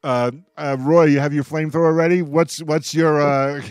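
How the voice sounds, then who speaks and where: A man's voice: fairly high pitch, steady tone, normal speed, smooth, no room sound, studio quality. A man casually hosting a radio roundtable, playful and repeating himself as he passes questions around. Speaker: radio host moderating a roundtable. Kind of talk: casual jokey moderating.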